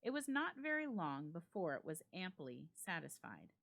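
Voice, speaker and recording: A woman narrates a classic ghost story in a somewhat low voice with wide, lively swings of pitch. Her delivery is theatrical and suspenseful, leaning deliberately on key words. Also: creaky. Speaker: library staff storyteller.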